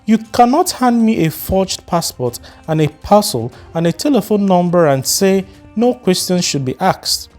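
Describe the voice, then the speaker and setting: A man voicing an indignant middle-aged mother who confronts a stranger with sharp demands. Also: sits high, big pitch swings, a little slow, hardly any pauses, soft background music, pitched above the performer's own voice. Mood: angry. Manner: confrontational, firing questions.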